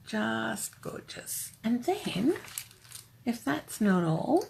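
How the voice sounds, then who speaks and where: A woman's voice: on the high side, very animated, extremely slow, gravelly, darkish tone, husky. An older woman with an Australian accent, hosting a rambling, chatty craft live stream and greeting viewers by name.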